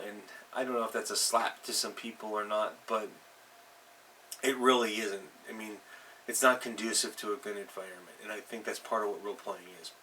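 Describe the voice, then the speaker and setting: A middle-aged man hosting an unscripted advice vlog as a game master, speaking haltingly and leaning hard on stressed words. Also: on the low side, sharp tone. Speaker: host, tabletop game master. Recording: distant mic with faint background noise.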